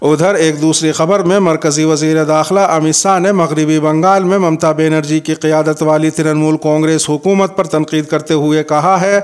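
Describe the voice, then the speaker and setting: A man presenting scripted news as host, in a formal, measured bulletin manner. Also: fairly high pitch, fairly even intonation, clean tone.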